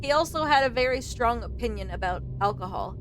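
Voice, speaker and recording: High-voiced woman streaming a game. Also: a little fast, slight background noise. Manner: expressive, exclamatory reactions. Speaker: streamer, let's play host. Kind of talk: casual game commentary with reading aloud. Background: faint game music.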